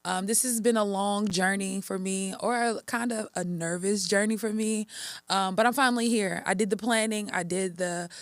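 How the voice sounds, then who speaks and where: A woman's voice: medium pitch, steady tone, moderate pace, no echo, studio quality. Upbeat chatty young woman podcaster. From Texas, USA. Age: 20s. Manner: upbeat and chatty, with frequent ums and restarts. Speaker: podcast host. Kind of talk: casual solo podcast monologue.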